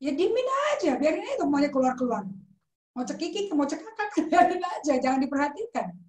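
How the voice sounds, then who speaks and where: A woman's voice: high voice, big pitch swings, fairly quick, a little mellow, reverberant. A woman pastor teaching in a conversational sermon style, mixing in English words and asking rhetorical questions.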